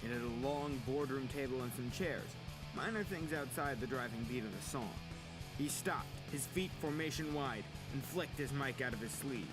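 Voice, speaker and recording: A man's voice: mid-range voice, moderate pace, low-quality recording. A man reading a dramatic story as scripted audiobook narration. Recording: music playing under the voice. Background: music with guitar.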